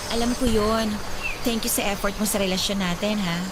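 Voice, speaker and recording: A young woman with a Filipino accent playing an impatient, sharp-tongued girlfriend in a radio drama. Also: mid-range voice, steady tone, fairly quick, very noisy, natural voice. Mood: soothing. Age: young adult. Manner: sharp and dismissive, later soothing. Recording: loud music bed under voice.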